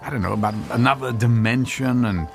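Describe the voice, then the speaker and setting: An actor playing a baffled, wary husband who speaks hesitantly and stammers, in a radio drama. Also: male voice, medium pitch, a little fast, gravelly, music in the background, close to an everyday voice. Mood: uneasy, reflective. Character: baffled, wary husband confronted by a strange woman.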